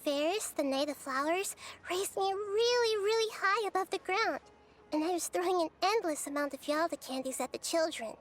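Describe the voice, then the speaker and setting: An actress voicing a gentle, wise, childlike young goddess in a video game, speaking softly and thoughtfully. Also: female voice, very high-pitched, moderate pace. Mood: happy, innocent. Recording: music behind the voice.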